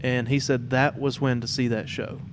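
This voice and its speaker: A man's voice: medium pitch, level tone, normal speed, background noise. A man hosting a podcast in a casual, conversational interview style. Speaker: podcast host.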